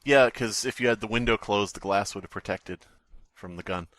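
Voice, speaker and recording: Low-voiced man bantering on a podcast, dry sound. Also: moderate pace, fairly bright tone, no echo. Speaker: podcast co-host. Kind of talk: casual joking commentary on online scary stories.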